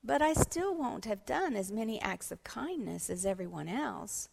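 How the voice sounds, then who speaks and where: A woman's voice: on the high side, expressive, normal speed, gravelly, breathy edge, big-room sound, heavily acted. A woman reading a children's storybook, voicing an earnest, eager little schoolboy. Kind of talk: children's storybook read-aloud.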